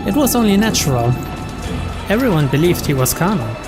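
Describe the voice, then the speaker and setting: Higher-pitched man, deliberate dramatic narrator. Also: big pitch swings. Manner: deliberate, drawn-out emphasis on words. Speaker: narrator reading game text aloud. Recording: loud game music behind.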